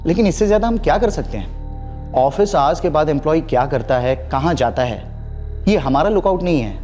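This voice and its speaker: An actor playing a matter-of-fact, detached office official in a TV crime serial. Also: male voice, a little high, animated, fairly quick, over music, natural voice. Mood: detached, firm.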